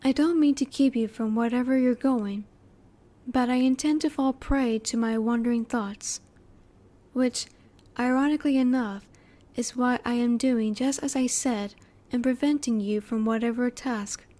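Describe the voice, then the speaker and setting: A woman's voice: a little high, fairly even intonation, normal speed, dark tone, lightly acted. A woman voicing a curious, polite alien in a roleplay audio drama, speaking formally and precisely with reserved emotion. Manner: formal, precise, stilted wording, politely inquisitive. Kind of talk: roleplay audio drama, one-sided dialogue to the listener.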